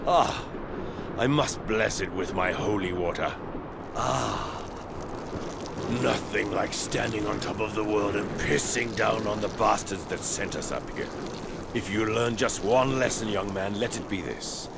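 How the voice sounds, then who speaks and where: An actor playing a crude, earthy older veteran patrolman in a fantasy game cutscene, grumbling in a creaky voice. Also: lowish voice, measured pace, heavy background noise. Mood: bawdy, lecturing.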